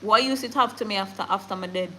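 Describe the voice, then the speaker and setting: A woman's voice: mid-range voice, quick pace, bright, forceful tone. A woman from Jamaica with a Jamaican accent, hosting a casual livestream, chatting with viewers and speaking emphatically, often laughing and slipping into patois. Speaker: livestream news host. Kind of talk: casual livestream chat reading comments and news.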